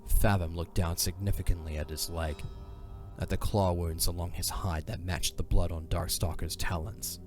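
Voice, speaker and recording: Casting: male narrator, very low voice, solemn and measured dramatic storytelling over prominent music. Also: steady tone.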